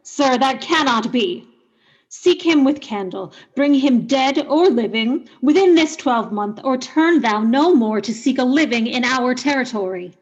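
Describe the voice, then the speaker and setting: A woman's voice: high voice, lively intonation, measured pace, highs cut off. A woman playing an imperious, cold and suspicious ruler in a Shakespearean radio play, with clipped commands. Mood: angry, threatening.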